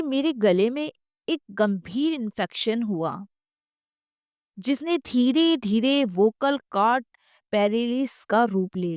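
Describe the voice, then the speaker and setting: Female devotional narrator, slow and earnest, reverent delivery, close dry sound. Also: a little high, a little mellow, dry recording. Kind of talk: scripted devotional testimony narration.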